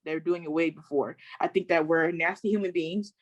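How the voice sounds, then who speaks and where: A woman's voice: low voice, fairly even intonation, fairly quick, no room sound, muffled recording. A woman from Sacramento speaking as a panelist in a casual discussion, outspoken and emphatic, often restarting her sentences. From Sacramento, California.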